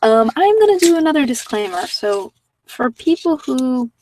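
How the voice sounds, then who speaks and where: A soft-spoken woman chatting casually as host of a guided ASMR meditation, rambling with pauses and sometimes dropping near a whisper. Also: high-pitched, sing-song, far from the mic, a little hiss or hum, poor audio.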